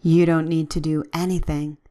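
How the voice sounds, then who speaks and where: A woman leading a guided relaxation with gentle, soothing, measured instructions and long pauses. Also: sits low, little pitch movement.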